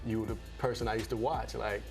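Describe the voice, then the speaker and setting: A man's voice: on the low side, fairly even intonation, quick pace, gravelly. Slangy teenage male athlete, music behind. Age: teenager, seventeen. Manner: slangy, repeats phrases. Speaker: basketball player being interviewed. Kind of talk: casual interview chat.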